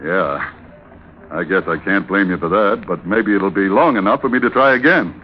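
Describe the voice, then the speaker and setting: Man acting a cool slangy seaman, low voice, needling, radio drama, dull vintage recording. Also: normal speed, lightly acted. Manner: unruffled, slangy, insinuating. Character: cool, needling male sailor angling for a mate's berth. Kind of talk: 1940s-50s American radio acting. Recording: muffled, noisy old radio recording.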